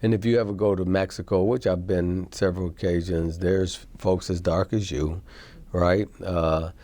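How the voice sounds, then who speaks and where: Low-voiced casual male talk show host. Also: steady tone, moderate pace, mellow. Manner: casual, rambling, asks "right?" often.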